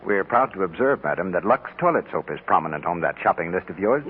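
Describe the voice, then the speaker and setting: Husky, low-pitched vintage radio announcer man. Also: expressive, fast talker. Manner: formal, salesmanlike. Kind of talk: 1940s radio commercial announcing. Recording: tinny 1940s radio broadcast.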